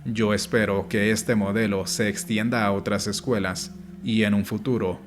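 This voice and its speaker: A man reading an audiobook in a measured, explanatory way, as scripted narration. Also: on the low side, little pitch movement, noisy background. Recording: music playing behind the voice. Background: background music.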